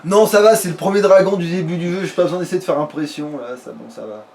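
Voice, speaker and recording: A man's voice: on the high side, fast, creaky, a little mellow. A man commenting casually on his game, with crude joking slang. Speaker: let's player. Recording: noisy home recording.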